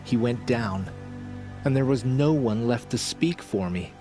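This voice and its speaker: An actor playing a humble, remorseful outcast tribesman in a video game, speaking in an earnest, halting, solemn way. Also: mid-range voice, expressive, over music, close to an everyday voice. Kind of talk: video game character acting.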